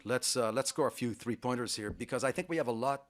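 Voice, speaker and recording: A man's voice: medium pitch, fast talker. A middle-aged man speaks with eager enthusiasm in a radio interview. He talks at length, picks up sports metaphors and sometimes restarts a word mid-sentence. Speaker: university vice president, interviewee.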